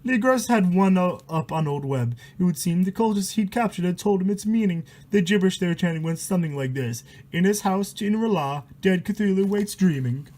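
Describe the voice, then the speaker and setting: A man with a high-pitched voice reads a storybook aloud. His delivery is theatrical and mock-horrified, moving between narration and loose, crude ad-libbed commentary. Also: moderate pace, hardly any pauses, darkish tone, rough recording. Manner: dramatic, mock-horrified, crude swearing asides. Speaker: storyteller reading a book aloud. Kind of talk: storybook reading with casual ad-lib commentary.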